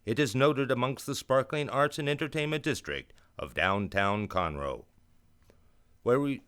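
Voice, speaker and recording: A man's voice: lowish voice, a bit bright, clear, resonant tone, dry recording. A man reading scripted radio announcements in a formal, declamatory way.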